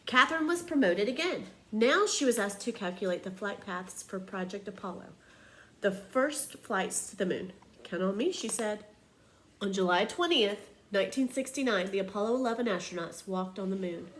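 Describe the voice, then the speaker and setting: A woman's voice: mid-range voice, lively intonation, moderate pace, rough recording. Woman reading aloud, slight background noise. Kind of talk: children's picture book read-aloud.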